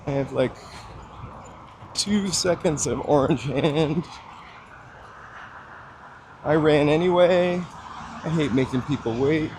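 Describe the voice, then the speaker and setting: A man's voice: fairly high pitch, takes it slowly, long pauses, a little mellow, slight background noise. A man chatting casually to live viewers while walking outdoors on a city street, rambling with lots of fillers, his voice a little froggy with a slight dry cough. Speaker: livestream walking host.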